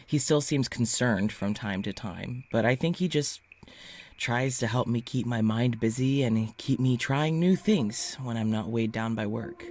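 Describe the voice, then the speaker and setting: Audio drama lead: male actor as a troubled, secretive scientist, mid-pitched, halting and self-questioning, clearly backed by music. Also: close to an everyday voice. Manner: hesitant, sighing, self-questioning. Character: anxious, self-doubting male scientist who studies creatures, secretly undying. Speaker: lead voice actor in a fiction podcast. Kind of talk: confessional audio drama monologue.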